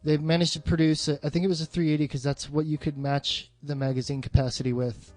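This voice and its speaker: A man with a voice pitched a little above average talks in a loose, chatty way. His speech is slangy and full of casual swearing. Faint music plays behind him. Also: level tone, quick pace, a little mellow, no room sound. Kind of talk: casual chat about films.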